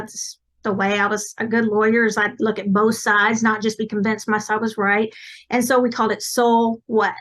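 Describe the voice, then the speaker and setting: A woman's voice: a little high, a bit bright. A woman with an East Texas accent, a psychic medium chatting as a podcast guest in a rambling, self-correcting way. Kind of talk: casual interview chat.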